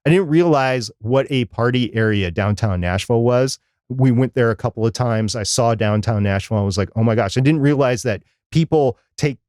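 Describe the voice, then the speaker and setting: A man's voice: medium pitch, darkish tone, dry recording, studio quality. A middle-aged man, casually recapping as a podcast co-host in a rambling, plain-spoken way. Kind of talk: casual conference recap on a live chat show.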